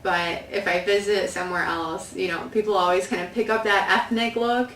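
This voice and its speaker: A woman's voice: mid-range voice, fairly even intonation, normal speed, fairly bright tone, slight background noise. A woman with a Chicago accent, Polish her first language, hosting an interview in a chatty, enthusiastic way. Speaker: interview host. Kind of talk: casual interview conversation. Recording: echoey room, mic at a distance.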